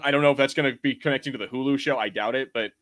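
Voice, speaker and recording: A man's voice: mid-range voice, racing, fairly bright tone. A man co-hosting a podcast, chatting casually in a rambling, slangy way. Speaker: podcast co-host. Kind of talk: casual pop culture discussion.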